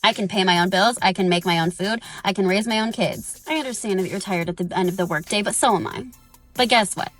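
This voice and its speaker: A woman's voice: mid-range voice, fairly quick, fairly bright tone. A woman delivering an assertive, emphatic monologue straight to camera for social media. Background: background music.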